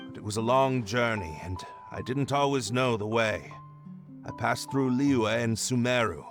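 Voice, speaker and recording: Weary penitent young nobleman, slow measured pace, hesitant formal speech, earnest, game cutscene, faint music. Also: mid-range voice. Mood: reluctant, contrite. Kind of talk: video game cutscene voice acting.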